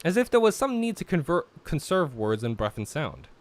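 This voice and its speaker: A man's voice: on the high side, big pitch swings, normal speed. A man hosting a visual novel playthrough, mixing casual, exasperated banter with read-aloud narration.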